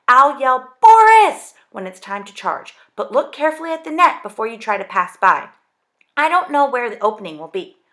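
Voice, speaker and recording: An excited young boy hero from a storybook, quick and urgent, as a woman reads him aloud. His voice sits higher than her own and swings widely, with a bright, sharp edge and a little gravel underneath.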